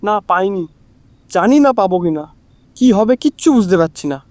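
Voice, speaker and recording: A man's voice: high voice, expressive, normal speed, a little mellow, no room sound. A man playing a distraught husband with an anxious, faltering delivery in a Bengali audio drama. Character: distraught husband whose wife has gone missing.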